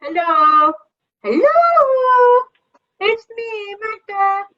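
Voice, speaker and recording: Woman acting a very high-pitched cleaner. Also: very animated, extremely slow, heavily acted. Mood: tentative. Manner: apologetic, deferential. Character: apologetic Polish cleaning woman. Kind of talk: amateur radio play acting. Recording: muffled, lo-fi video call audio.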